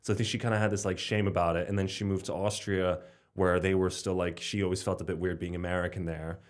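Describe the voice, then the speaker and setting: A man's voice: sits low, little pitch movement, quick pace. A young man from Slovenia chatting casually as a podcast guest, rambling with lots of fillers and restarts. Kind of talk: casual conversation.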